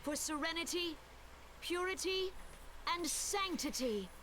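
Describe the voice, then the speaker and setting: A solemn game leader of a forest realm speaks in a high, measured voice. Her oratory is formal and serene, unhurried throughout, over faint ambient noise. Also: animated, bright, forceful tone, full character voice. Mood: solemn, uplifting. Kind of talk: formal video game ceremonial speech.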